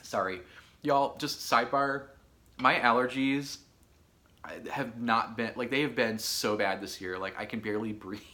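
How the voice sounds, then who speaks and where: Chatty hoarse man in his thirties. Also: medium pitch, unhurried. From Michigan, USA. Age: 30s. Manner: chatty, animated, lots of filler words. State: hoarse from allergies. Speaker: podcast host. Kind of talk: casual solo chat.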